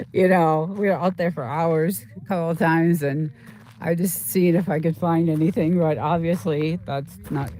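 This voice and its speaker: A woman host chatting casually and informally on a livestream, rambling and often repeating herself as she talks to viewers. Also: lowish voice.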